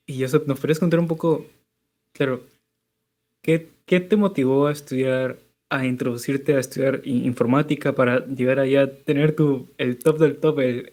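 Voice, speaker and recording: A man's voice: mid-range voice, a little mellow. A man hosting an interview podcast, introducing his guest in an upbeat, playful way and asking questions. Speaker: podcast host.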